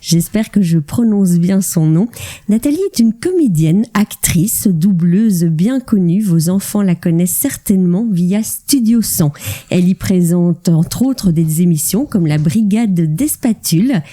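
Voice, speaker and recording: Woman, podcast host, slightly low pitch, very soft dark timbre, conversational, gently prompting. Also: expressive, unhurried. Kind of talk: conversational interview.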